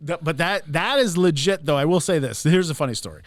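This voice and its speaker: A man from Massachusetts in casual podcast chat, upbeat and quick to laugh, asking eager questions. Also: fairly high pitch, expressive, no room sound. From Massachusetts, USA.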